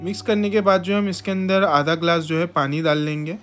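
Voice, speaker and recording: A man's voice: on the high side, flat delivery, a little fast. A young man hosting a home cooking channel, explaining a recipe step by step in a chatty, friendly way with frequent fillers. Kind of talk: voice-over recipe narration. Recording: soft guitar music behind.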